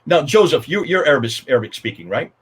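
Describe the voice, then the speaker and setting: Animated husky American man. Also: a little high, fast talker. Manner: emphatic, interrupts and takes charge of the conversation. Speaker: guest speaker. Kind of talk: animated explanatory talk.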